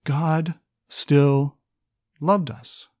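A man's voice: on the high side, steady tone, extremely slow, dry recording, studio quality. A man co-hosting a conversational Bible study podcast, talking with enthusiasm and reading scripture aloud. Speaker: podcast co-host.

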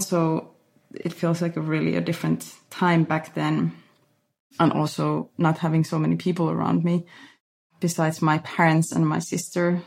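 A woman from Finland, a choreographer, answering interview questions in a thoughtful, halting way with many restarts. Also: low voice, gravelly, darkish tone. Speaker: guest choreographer. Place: Berlin.

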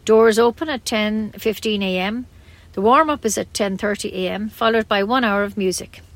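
A woman's voice: mid-range voice, moderate pace, dry recording. A woman with an Irish accent reading scripted local news as a community radio newsreader.

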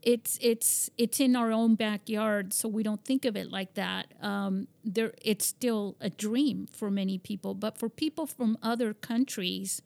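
A woman's voice: mid-range voice, fairly even intonation, unhurried, creaky, hardly any pauses, darkish tone. Rambling woman hosting an interview. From Spain. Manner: rambling, many restarts and fillers. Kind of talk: conversational interview.

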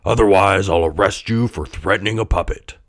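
A man voicing a gruff, booming, sarcastic policeman in a storybook. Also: brisk, no echo, studio quality. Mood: stern.

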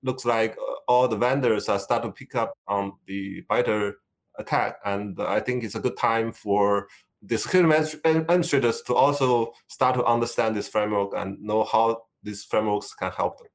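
Chinese-accented man, halting and filler-heavy. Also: on the low side. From China. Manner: hesitant, many fillers and restarts. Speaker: guest, tech company executive. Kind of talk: conversational interview.

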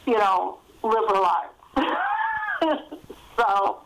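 A woman's voice: a little high, wildly swooping pitch, drawn-out words, fairly bright tone, poor audio. A middle-aged or older woman, an author guest telling stories casually in an interview.

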